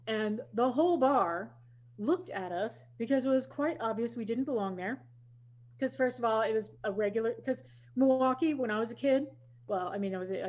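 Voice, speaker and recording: A fairly high-voiced woman from Wisconsin hosts a casual podcast chat. She tells stories in a chatty, self-deprecating way. The audio is dull and lo-fi, like a video call. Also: darkish tone. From Wisconsin, USA. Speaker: podcast host.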